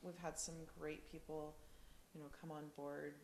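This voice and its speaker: A woman from the United States, chatting casually in an interview, with many ums and lively storytelling. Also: low-pitched, moderate pace, roomy sound, slight background noise, low-quality recording. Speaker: interviewee, rider's partner.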